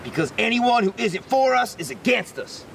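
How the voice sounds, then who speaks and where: A man's voice: sing-song, normal speed, creaky, a bit bright, exaggerated voice. A man voicing a sly, self-assured tiger in a children's storybook, coaxing and casual. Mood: smooth, controlling. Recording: distant mic, rumbly background noise.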